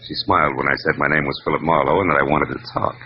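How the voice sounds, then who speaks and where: An actor playing a wry, tough, world-weary private detective in 1940s radio drama, sardonic and wisecracking in clipped lines. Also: male voice, rumbling low, fairly even intonation, racing, noisy background. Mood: wry narrating. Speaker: lead actor and narrator. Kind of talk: 1940s hardboiled radio narration and acting. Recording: muffled vintage radio recording.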